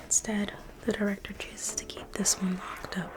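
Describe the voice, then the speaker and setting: A woman in an ASMR roleplay, playing a private museum guide in a slow, coaxing whisper that repeats phrases. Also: flat delivery. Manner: coaxing sales-pitch tone.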